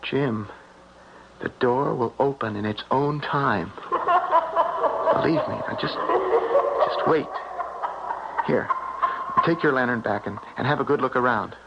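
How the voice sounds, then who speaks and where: An actor playing an earnest believer in ghosts in an old-time radio drama, with an intense, halting, suspenseful delivery. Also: male voice, mid-range voice, big pitch swings, vocal fry, heavily acted. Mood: hushed, certain. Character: eerie, earnest male believer in haunted places. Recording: dull, noisy 1970s radio broadcast.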